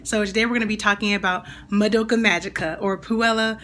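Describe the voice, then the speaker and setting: A young woman with a slightly raspy voice, still getting over a cold, chatting enthusiastically and rambling as she reviews an anime. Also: mid-range voice, fairly even intonation, brisk, a bit bright, slight background noise. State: recovering from a cold. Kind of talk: casual video review.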